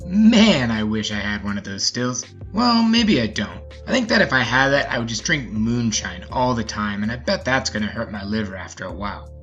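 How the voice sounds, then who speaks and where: A man's voice: mid-range voice, sing-song, normal speed, hardly any pauses, fairly bright tone, dull audio, soft background music. A man hosting a story podcast, speaking as an informal, easygoing storyteller. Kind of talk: story narration and casual chatty commentary.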